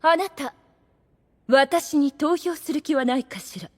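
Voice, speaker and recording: A woman's voice: sits high, unhurried, no echo. A woman playing a haughty, scheming demoness in an anime audio drama, with imperious, refined feminine phrasing. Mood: probing, imperious. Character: haughty, scheming, obsessively devoted demoness overseer.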